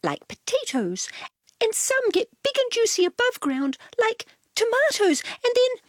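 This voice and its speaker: Haughty storybook cat, female, very high pitch, condescending, drawn-out words, dry close sound. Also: wildly swooping pitch, dry recording, exaggerated voice. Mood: haughty, knowing. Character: haughty female pet cat, knowing and patient. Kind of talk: children's storybook character voice.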